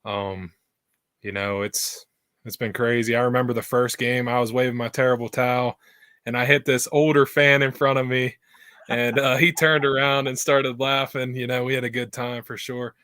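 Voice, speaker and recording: A man's voice: mid-range voice, flat delivery, moderate pace, fairly bright tone, dry recording, studio quality. A man in his twenties from Pennsylvania, a guest on a video call, chatting in a laid-back way full of fillers. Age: 20s. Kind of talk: casual chat.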